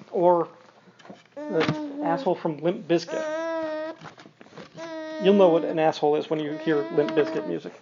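A middle-aged man reads a picture book aloud to a young child, with wry, informal, conversational asides. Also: fairly high pitch. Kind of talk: storybook read-aloud with casual asides. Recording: dull, muffled audio with background noise.

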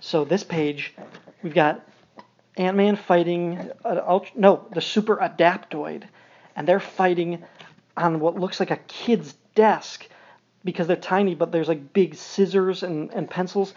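Mellow man, dull muffled recording. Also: high voice, unhurried. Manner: wry, informal, conversational. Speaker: podcast host reading a picture book aloud to a young child. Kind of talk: storybook read-aloud with casual asides. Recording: dull, muffled audio with background noise.

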